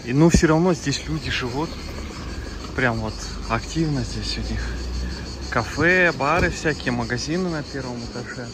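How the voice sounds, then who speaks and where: A man's voice: medium pitch, normal speed. A man from Kazakhstan casually narrating a travel vlog, chatty and talking to his viewers as friends while walking streets and driving. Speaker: travel vlogger. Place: outdoors in narrow town streets and in a car. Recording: outdoor noise in background.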